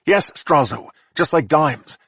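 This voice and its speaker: A man's voice: a little high, animated, slow, fairly bright tone, breathy edge, no echo, studio quality, pitched above the performer's own voice, exaggerated voice. A man doing a snarling, panicky crook. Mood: desperate. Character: angry stressed middle-aged man, hissing threats. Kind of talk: audiobook character voice.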